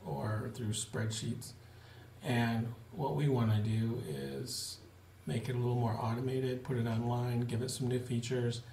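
A man in his forties giving a relaxed, plain-spoken video update to supporters from a small home office, with frequent fillers. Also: medium pitch, creaky, a little hiss or hum. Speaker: missionary giving a family update. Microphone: webcam at desk. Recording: roomy webcam audio with faint ambience.

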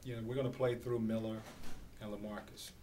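A middle-aged man coaching basketball speaks at a podium after a game, his voice low and fairly flat. He talks in a measured, halting way, padding with fillers. The sound comes from a camera a few steps away, with a noisy room hum behind. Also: distant mic. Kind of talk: postgame press conference. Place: small press room at a podium. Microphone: podium microphone on a stand.

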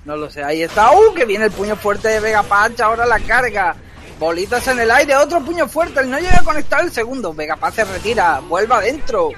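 A man doing fast, excitable play-by-play commentary on a fighting game, joking and shouting at big moments. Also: sits high, slight background noise. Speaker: esports commentator.

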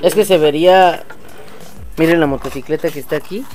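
A man's voice: a little high, a little fast, over music. A man in his thirties with a Mexican accent, a motovlog host chatting casually and talking straight to his viewers in an outdoor workshop yard. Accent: Mexican Spanish. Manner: chatty, slangy, addresses viewers directly. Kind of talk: casual vlog chat.

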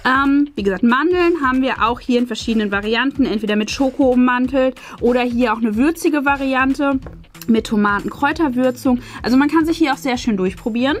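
A woman in her thirties with a high voice hosts a casual unboxing. She chats and explains to her viewers, with faint music playing behind her. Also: fairly quick. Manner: chatty, explanatory. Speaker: host of an unboxing channel. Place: small furnished indoor room. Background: faint background music.

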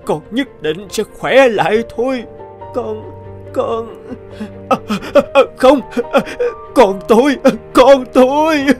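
A man's voice: very high voice, takes it slowly, faint music behind. A man playing a grieving, upright old official and devoted father in a cartoon, with an emotional, imploring manner. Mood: anguished. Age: older. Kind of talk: animated cartoon dialogue.

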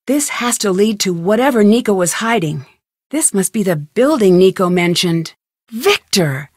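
A woman playing a young, curious and determined amateur detective in a video game, thinking aloud in clearly articulated scripted lines. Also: mid-range voice, animated, a little slow, darkish tone, no room sound, clean studio sound. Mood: musing, focused.

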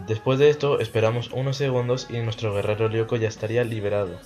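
Casting: young male tutorial host, Spain accent, lowish voice, casual delivery, music underneath. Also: little pitch movement, quick pace, darkish tone. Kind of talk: casual video game tutorial. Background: background music.

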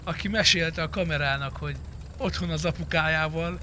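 A man's voice: on the high side, pushed and bright, a little hiss or hum. A man chatting casually and crudely, with lots of swearing, while streaming a roleplay video game.

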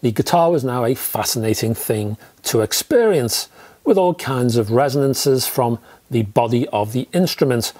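Middle-aged man, lively swinging intonation. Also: mid-range voice, husky. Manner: emphatic, conversational, rhetorical asides. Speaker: audio equipment reviewer. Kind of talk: scripted video review to camera. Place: small indoor room with record shelves.

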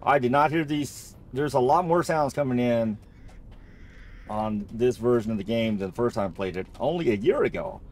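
A man's voice: medium pitch, normal speed, a little mellow, a little hiss or hum. A man giving casual, rambling and jokey commentary as he plays a video game, often repeating himself. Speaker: gamer narrating a playthrough.

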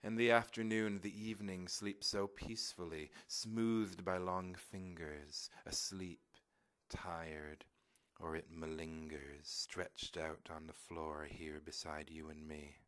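Very deep-voiced man reciting poetry. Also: little pitch movement, slow, a bit bright. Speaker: poetry reader.

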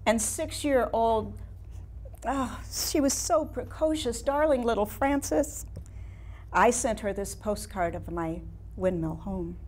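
A woman in her sixties with an American accent performing a staged monologue as a wistful, reflective older painter looking back in measured, nostalgic phrases. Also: on the high side, expressive, darkish tone. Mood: fond reminiscing. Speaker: playwright performing a staged reading. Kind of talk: theatrical monologue reading. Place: small studio room with seated audience.

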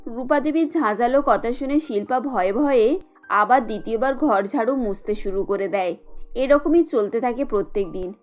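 High-voiced woman narrating a cartoon story. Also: normal speed, a little mellow, muffled recording, faint music behind.

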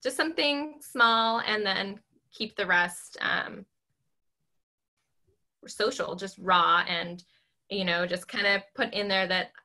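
A woman in her thirties, a real estate agent, chatting on a video call from home in a squeaky, animated voice full of ums. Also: slow pace, long pauses. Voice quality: squeaky, high-pitched voice. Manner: animated, talks with her hands, many ums. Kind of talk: casual video call chat. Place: small bedroom at home, indoors. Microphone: laptop webcam on video call.

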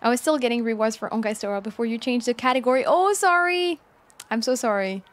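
A woman's voice: fairly high pitch, fast talker. A woman in her twenties giving casual, chatty commentary on a gaming stream with informal asides. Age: 20s. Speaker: game streamer. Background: game music.

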